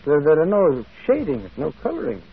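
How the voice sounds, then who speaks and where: An actor playing a gentle, thoughtful priest detective in an old radio drama, speaking in a hesitant, stammering, musing way. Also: male voice, fairly high pitch, wildly swooping pitch, moderate pace, husky, heavily acted. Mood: earnest. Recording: tinny, band-limited old radio broadcast.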